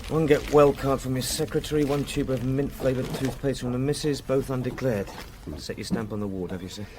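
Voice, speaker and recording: An actor playing a good-humoured, deferential police subordinate with gentle sarcasm in a radio drama. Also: male voice, mid-range voice, fairly even intonation, fairly quick, noisy background, lightly acted. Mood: teasing, obliging.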